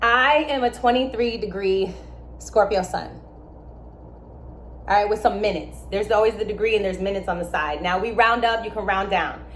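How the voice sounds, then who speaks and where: A young adult woman, born in Germany and raised in America, explaining astrology in a casual, emphatic way with lively asides. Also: medium pitch, normal speed, slight background noise. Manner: animated, emphatic, with asides and laughs. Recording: echoey room with faint ambience.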